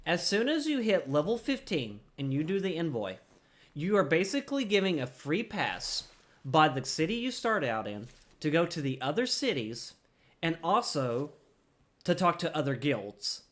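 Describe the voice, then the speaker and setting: A man's voice: a little high, very animated, normal speed, fairly bright tone. A man giving casual gameplay commentary, explaining things informally and thinking aloud as he plays. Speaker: gamer, streamer explaining gameplay.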